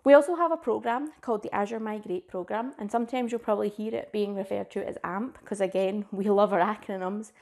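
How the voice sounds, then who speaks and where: A woman's voice: mid-range voice, fairly quick, gravelly, hardly any pauses, darkish tone. Scottish woman in her thirties for an upbeat, informal tech session, recorded on a desk webcam. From Scotland. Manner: enthusiastic, chatty and informal. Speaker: cloud advocate presenting a conference session. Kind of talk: presented tech talk. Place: small indoor room with a plain wall.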